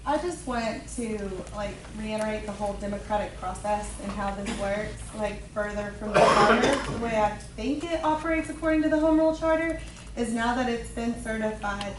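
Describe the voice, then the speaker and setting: A woman's voice: mid-range voice, moderate pace, noisy background. A woman giving public comment at a council meeting in a casual, conversational way. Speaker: citizen speaker. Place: council chamber. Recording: echoey room, far mic.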